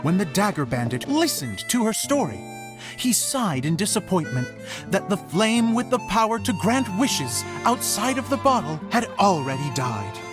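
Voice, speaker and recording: A woman voicing a theatrical storyteller and show director in a video game, narrating like a storybook in a measured, expressive way. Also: mid-range voice, music in the background, lightly acted. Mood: storytelling.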